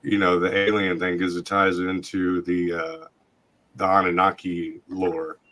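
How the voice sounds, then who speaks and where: A man hosting a podcast in casual chat, his voice hoarse and scratchy from a long night of talking. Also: low-pitched, measured pace, a bit bright. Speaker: podcast host.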